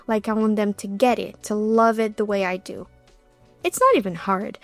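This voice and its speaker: Young Brazilian woman, podcast host; heartfelt, confiding monologue, voice on the higher side, dry close recording. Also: no echo. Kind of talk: reflective solo podcast monologue.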